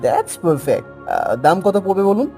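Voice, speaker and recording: A man's voice: sits high, wildly swooping pitch, unhurried, exaggerated voice. A man voicing a rich, demanding city businessman who talks in a businesslike way, sprinkling in English words and hesitant "ah"s, in a cartoon story. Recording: soft background music.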